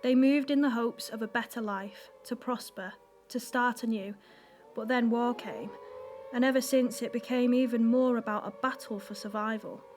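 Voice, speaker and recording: A woman's voice: a little high, fairly even intonation, normal speed, a little mellow, a little hiss or hum. A woman reading scripted narration for a wartime audio drama.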